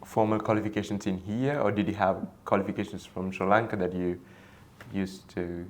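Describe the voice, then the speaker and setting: A man hosting an interview, asking questions in slow, formal phrasing. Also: low voice, fairly even intonation.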